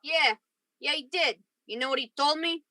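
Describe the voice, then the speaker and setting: A woman's voice: high voice, moderate pace, bright, forceful tone, no room sound, clean studio sound. A woman playing a hot-tempered, protective lover in a radio crime drama. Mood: bitter. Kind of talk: old-time radio crime drama acting.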